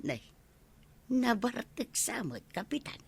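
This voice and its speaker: A woman with an Ilocano accent acting as an excitable, mocking villager in a radio comedy drama. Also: fairly high pitch, slow pace, breathy edge, no room sound, exaggerated voice. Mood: scolding, complaining.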